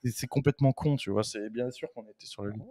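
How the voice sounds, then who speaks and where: A man's voice: medium pitch, fast, warm tone, dry recording, clean studio sound. A man as a guest on a casual live chat, rambling with fillers and blunt slang.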